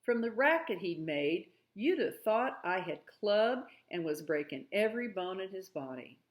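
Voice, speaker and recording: Female read-aloud narrator, mid-range pitch, expressive storybook delivery. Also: a little slow.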